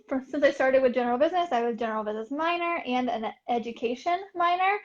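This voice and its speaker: A young woman artist, a guest in a casual interview, talking upbeat with frequent fillers and laughs. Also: high-pitched. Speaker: artist and art business coach, guest. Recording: dull lo-fi audio.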